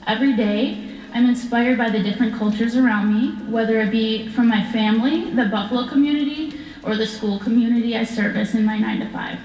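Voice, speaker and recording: A woman delivers a prepared speech in a fairly high voice. The room around her is strongly echoey, with a long hall reverb trailing each phrase. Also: a little fast, darkish tone. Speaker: dance studio founder giving a speech.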